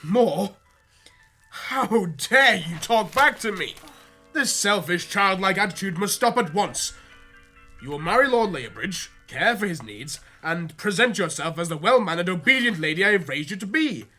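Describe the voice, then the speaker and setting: A man's voice: sits high, very animated, normal speed, bright, forceful tone, soft background music, full character voice. An actor playing a strict, middle-aged Victorian father, formal and commanding in a period radio drama. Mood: angry, commanding. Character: strict, controlling Victorian patriarch, stern and condescending.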